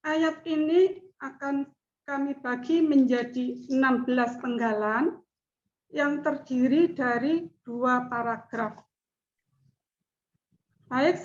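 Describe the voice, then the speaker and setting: A middle-aged woman giving a formal class presentation in measured, deliberate speech. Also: high voice. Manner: slow, measured speech with chanted recitation. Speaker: student presenter. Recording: dull lo-fi audio.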